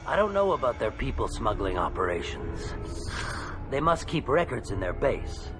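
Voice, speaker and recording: A man acts out a nervous alien trader from a sci-fi game. His delivery is oily and halting, leaping up and down in pitch, with loud music behind him. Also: very animated, lightly acted. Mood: sly, cautious. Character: shifty alien merchant in a suit, nervous and wheedling. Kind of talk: video game character acting.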